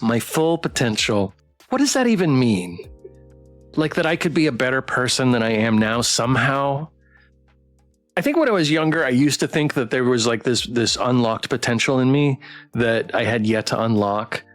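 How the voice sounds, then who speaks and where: A man hosting a casual game livestream, reading text aloud and chatting with playful, expressive reactions. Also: mid-range voice, normal speed, faint music behind. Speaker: game streamer and host.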